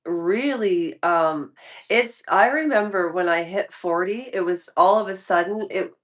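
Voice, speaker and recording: A woman in her fifties talking casually to her viewers in a vlog, rambling and often restarting her sentences. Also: lowish voice. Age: 50s. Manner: rambling, restarts and trails off mid-thought. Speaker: YouTube commentary creator.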